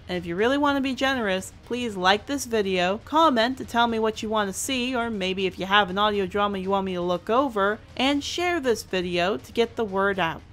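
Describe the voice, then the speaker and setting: A woman delivers a scripted review as the host. Her pitch is average for a woman, and she phrases things formally with earnest emphasis. Music plays faintly behind her. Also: sharp tone. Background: background music.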